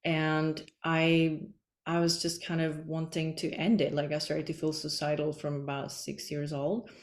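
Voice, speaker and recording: Woman from the Czech Republic, podcast guest; low, even delivery, chatty and meandering, echoey room. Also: a little slow. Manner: rambling, many "like" fillers. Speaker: podcast guest, energy healer. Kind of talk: casual interview chat.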